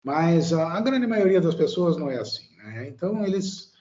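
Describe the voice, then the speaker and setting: A man's voice: a little high, very animated, normal speed, warm tone, lo-fi sound. A Brazilian man, a guest in a casual interview, talking hesitantly with many fillers and tag questions. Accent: Brazilian Portuguese. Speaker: guest, immigrant living in Italy.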